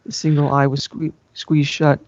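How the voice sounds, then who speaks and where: A man's voice: fairly high pitch, little pitch movement, moderate pace, dry recording, dull audio. A man giving an amateur dramatic reading of fan fiction as a story narrator. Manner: breaks into laughter at the text.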